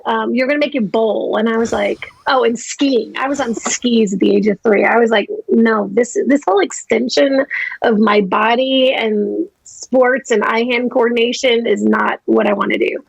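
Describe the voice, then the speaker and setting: A woman's voice: a little high, creaky, no echo, dull audio. A woman in her fifties, a podcast guest telling her story in a chatty way, full of likes and restarts. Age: 50s. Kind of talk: casual interview chat.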